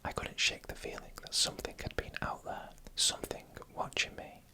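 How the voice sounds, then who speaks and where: Hushed, breathy ASMR whisper narrator. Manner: hushed, deliberate, short measured phrases. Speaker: narrator and channel host.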